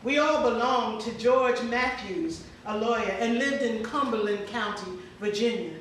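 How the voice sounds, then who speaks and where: Actress as slow high-voiced freedwoman seeking kin. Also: close to an everyday voice. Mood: earnest, formal. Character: formerly enslaved woman earnestly seeking her lost family. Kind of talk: dramatic stage reading. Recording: very echoey hall, distant mic, faint noise.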